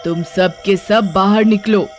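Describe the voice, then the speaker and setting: A woman's voice: mid-range voice, fairly even intonation, takes it slowly, a little mellow, soft background music, natural voice. A woman playing a gloating, hungry female witch who taunts and commands in a cartoon horror story. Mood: greedy, commanding.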